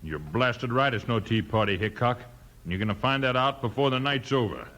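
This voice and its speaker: An American actor playing a hot-headed, belligerent rancher in a 1950s western radio drama. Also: male voice, mid-range voice, expressive, fairly quick, a bit bright. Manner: belligerent, sneering. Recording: noisy old radio recording.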